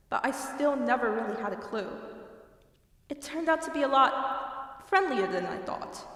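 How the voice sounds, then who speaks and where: An actress plays an immortal demon of knowledge, high and bright in voice, with theatrical, exasperated grandeur. She declaims like a being weary of eternity in an audio drama, far from the mic in a reverberant hall. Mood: wistful, tender. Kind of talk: amateur audio drama acting.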